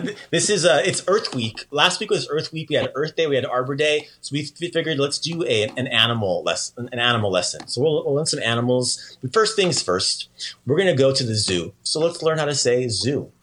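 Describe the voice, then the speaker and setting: A man's voice: mid-range voice, expressive, a little fast, a bit bright, slight background noise. Encouraging man hosting a casual language lesson. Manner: encouraging, praising the learner often. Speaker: podcast host teaching language lesson.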